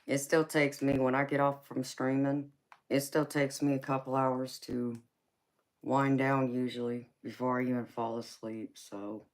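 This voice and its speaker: A woman's voice: rumbling low, little pitch movement, unhurried, low-quality recording. A woman in her forties hosting a live stream, chatting casually with viewers and calling them baby, honey and sweetheart. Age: 40s. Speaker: tarot reader and livestream host.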